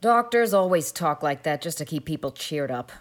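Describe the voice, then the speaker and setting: A woman's voice: low voice, lively intonation, moderate pace, voice pushed down. A woman voicing a grim, contemptuous spinster in her fifties, a born pessimist, in an audiobook story. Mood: sour gloom. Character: grim, sour fifty-seven-year-old spinster, a born pessimist. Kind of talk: audiobook character dialogue.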